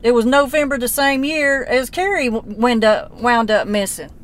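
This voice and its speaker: A woman's voice: fairly high pitch, animated, moderate pace. A woman, questioning a man on a phone call in a blunt, folksy, persistent way. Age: 40s. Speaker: relative investigating a disappearance. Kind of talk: casual phone conversation.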